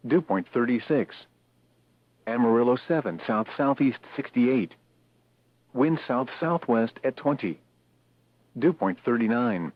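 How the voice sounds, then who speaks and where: A man's voice: mid-range voice, no room sound. Synthetic male announcer on tinny radio. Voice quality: synthetic text-to-speech voice. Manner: formal, measured, impersonal reading. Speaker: automated weather radio announcer. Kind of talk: scripted weather bulletin readout. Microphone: radio broadcast, dry sound. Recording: tinny narrowband weather radio broadcast.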